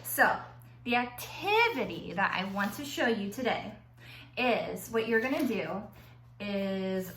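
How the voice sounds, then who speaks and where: A woman schoolteacher reading aloud to young children and explaining an activity in simple, clear phrases. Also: mid-range voice, bright, forceful tone. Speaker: elementary school teacher. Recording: echoey room with faint background noise.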